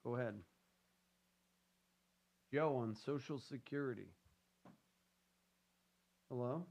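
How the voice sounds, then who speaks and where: A man's voice: medium pitch, takes it slowly, darkish tone. A man hosting a financial radio show, chatting loosely and joking with his co-hosts between promos and calls. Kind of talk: casual on-air banter and show promos.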